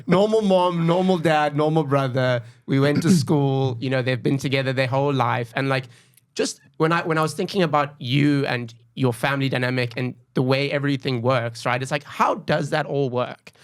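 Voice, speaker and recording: A man's voice: medium pitch, normal speed, smooth. A man hosting a podcast, asking questions in a curious, informal way, full of filler words. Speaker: podcast host. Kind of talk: casual interview questions.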